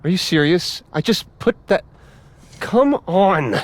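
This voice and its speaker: A man playing a self-important, smoothly condescending author in a video game, talking in a pompous but conversational way. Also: sits high, lively intonation, takes it slowly, no room sound. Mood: annoyed. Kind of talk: naturalistic video game voice acting.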